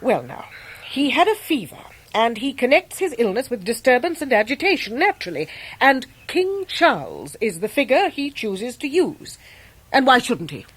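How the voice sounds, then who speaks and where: An actress playing a brusque, eccentric older English great-aunt in a radio drama, blunt, emphatic and fiercely protective. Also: female voice, fairly high pitch, very animated, moderate pace, breathy edge. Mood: indignant, fond. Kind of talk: 1990s British radio drama acting.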